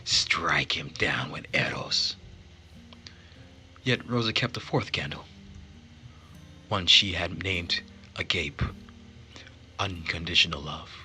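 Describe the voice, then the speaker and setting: A man's voice: sits low, sharp tone. A man reading a visual novel aloud as dramatic narration during a playthrough.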